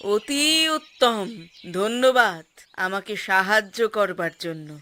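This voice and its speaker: A woman voicing a serene, fearless wandering holy woman in a cartoon, speaking calmly and formally. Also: mid-range voice. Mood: calm, composed. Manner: calm, formal, measured. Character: serene fearless wandering female ascetic of cremation grounds. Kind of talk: cartoon voice acting.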